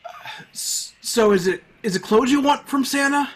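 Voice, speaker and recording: A man acting as a kindly, flustered stand-in Santa in an audio drama. His voice is husky and he stammers his way along, hesitant and halting. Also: very high voice, a bit bright, exaggerated voice, rough recording. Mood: flustered, tender.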